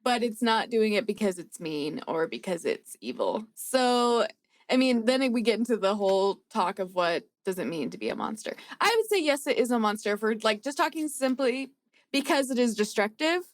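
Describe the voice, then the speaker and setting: A woman's voice: medium pitch, no room sound, studio quality. A woman on a casual discussion panel, thinking aloud somewhat tentatively as she gives her view. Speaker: podcast panelist.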